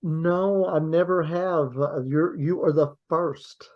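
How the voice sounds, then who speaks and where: Slightly high-voiced male podcast host. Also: steady tone, lo-fi sound. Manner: friendly, halting, repeats words. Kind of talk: casual interview chat.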